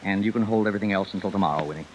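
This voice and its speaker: An actor playing an evasive, nervous male playwright in a 1950s radio drama, speaking haltingly. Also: on the low side, lightly acted. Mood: guarded, brisk. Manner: hesitant, halting. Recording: muffled noisy vintage radio recording.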